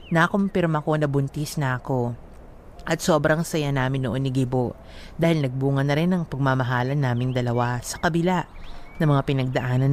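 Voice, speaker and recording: A woman's voice: sits low, a little mellow, a little hiss or hum. A woman giving a sorrowful, confessional first-person narration for a radio love story. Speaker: letter narrator. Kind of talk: scripted dramatic narration.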